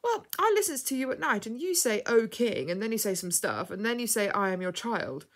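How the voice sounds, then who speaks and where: A woman's voice: a little high, big pitch swings, a little fast, voice pushed up. A woman voicing a polite, trusting little orphan boy in a story, with an artless, childlike manner. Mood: curious. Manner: artless, childlike, rustic grammar.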